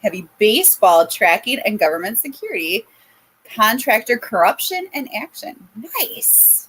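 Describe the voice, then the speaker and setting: A woman with a Midwestern American accent who teaches English, chatting casually on a livestream, giggly and animated. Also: medium pitch, breathy edge, low-quality recording. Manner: giggly, animated, quick to laugh.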